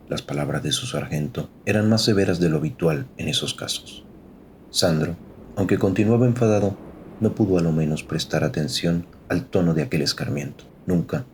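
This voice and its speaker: A man's voice: deep voice, slight background noise. A man narrating a scripted fiction story as a dramatic narrator.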